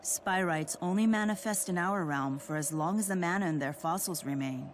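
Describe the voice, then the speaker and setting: Low-pitched, blunt fantasy game woman, acted by a woman. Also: moderate pace, a little hiss or hum, natural voice. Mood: stern, matter-of-fact. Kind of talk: video game cutscene voice acting. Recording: game music behind the voice.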